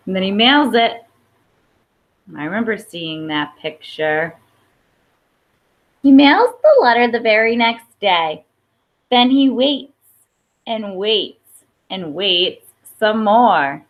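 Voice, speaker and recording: A woman reading a children's picture book aloud expressively, with pauses for emphasis and small asides. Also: mid-range voice, very animated. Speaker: storybook reader. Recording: dull, lo-fi sound.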